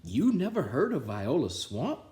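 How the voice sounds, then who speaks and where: A man voicing excitable, mischievous schoolkids from a children's storybook. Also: mid-range voice, measured pace, pitched above the performer's own voice. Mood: excited. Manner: exclaiming, eager. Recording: roomy, echoing sound.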